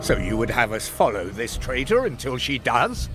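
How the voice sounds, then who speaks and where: Armored knight, male actor: middle-pitched, curt, stern, contemptuous, cinematic music behind. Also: sing-song, moderate pace, natural voice. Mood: bitter. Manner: scornful, curt. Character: hostile, proud armored warrior-knight, stern and impatient. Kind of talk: video game cinematic acting.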